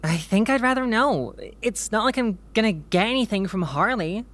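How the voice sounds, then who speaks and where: A woman's voice: medium pitch, animated, moderate pace, fairly bright tone, lightly acted. A woman playing an anxious, persistent doctor who works in communications, hesitant and earnest in a naturalistic audio drama. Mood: earnest, stubborn.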